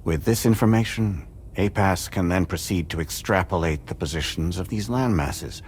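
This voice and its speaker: An actor playing a stately, courteous corporate president in a game, with a formal, deliberate, authoritative delivery. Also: male voice, low voice, slight background noise, close to an everyday voice. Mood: courteous, assured. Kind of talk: scripted game cutscene speech.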